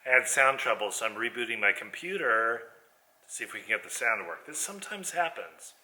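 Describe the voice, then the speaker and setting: A man's voice: medium pitch, very animated, fairly quick, sharp tone. A man giving a hands-on theatre lesson, explaining movements step by step. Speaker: theatre teacher. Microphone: distant computer microphone on a video call. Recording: echoey theater, voice far from microphone.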